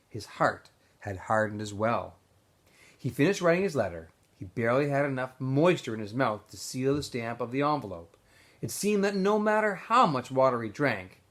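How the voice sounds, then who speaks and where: Man reading a novel aloud, very animated pitch. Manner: informal, stumbles and corrects himself while reading. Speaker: teacher reading aloud to students. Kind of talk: read-aloud storytelling of a children's novel.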